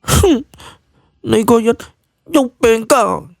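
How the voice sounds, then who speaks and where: A woman playing a bashful yet bossy young witchcraft expert in a Cantonese audio drama, expressive and huffy. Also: on the high side, takes it slowly, creaky, no echo, studio quality, full character voice.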